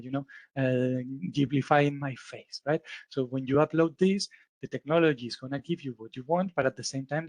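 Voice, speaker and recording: A man's voice: medium pitch, darkish tone, no room sound. A man with a Spanish accent giving a conference talk, explaining step by step with many fillers and frequent "right?" checks. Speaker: lecturer at a symposium. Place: lecture hall.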